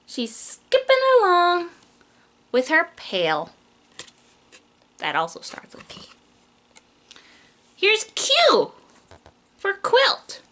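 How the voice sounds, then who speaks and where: A woman teacher reading a picture book aloud to young children, talking straight to them with simple questions and playful emphasis. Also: sits high. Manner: animated, questioning, speaks directly to small children.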